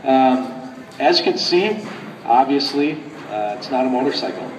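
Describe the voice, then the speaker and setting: A man getting over a cold, his voice a little raspy, giving a halting product presentation full of fillers on a convention floor. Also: mid-range voice, lively intonation. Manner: halting, many fillers and restarts. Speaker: company product spokesman. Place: large indoor convention center show floor. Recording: echoey convention hall, distant mic, loud crowd noise.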